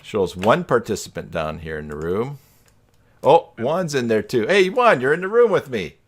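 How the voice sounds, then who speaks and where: A man's voice: medium pitch, very animated, moderate pace. A man hosting a casual live stream over a video call, chatting in a rambling, jokey way.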